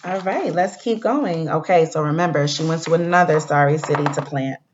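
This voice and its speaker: A woman teacher giving a read-aloud lesson to young pupils, speaking in a deliberate way and addressing the children directly. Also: low-pitched, noisy background. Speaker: elementary school teacher. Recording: dull lo-fi recording.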